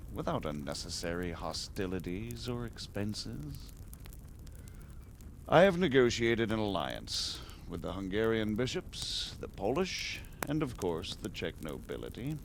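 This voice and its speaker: An actor playing a scheming male noble in a video game cutscene, measured and persuasive, at times urgent. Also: fairly low pitch, creaky, slight background noise, full character voice. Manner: measured, persuasive, rising to anger. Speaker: nobleman margrave.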